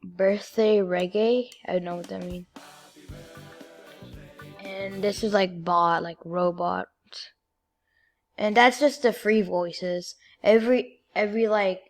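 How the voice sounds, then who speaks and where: A female-sounding voice at a middling pitch walks through software steps. The delivery is informal and halting, in the manner of a homemade screen-recording tutorial. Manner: informal, halting step-by-step instructions. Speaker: video creator giving a tutorial.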